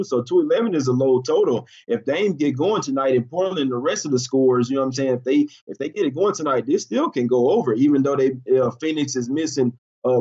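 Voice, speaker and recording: A man with a husky, rough voice talks through sports bets in a relaxed, hesitant way, often trailing into fillers. The sound is muffled and lo-fi. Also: mid-range voice. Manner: laid-back, hesitant, full of fillers. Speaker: sports betting co-host. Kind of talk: casual sports betting chat.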